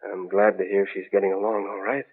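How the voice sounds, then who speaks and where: Casting: troubled older father, vintage radio drama, halting, solemn, low voice, tinny recording. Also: level tone, breathy edge. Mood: solemn grief. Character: troubled, grieving older father of a grown daughter. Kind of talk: 1940s radio drama acting.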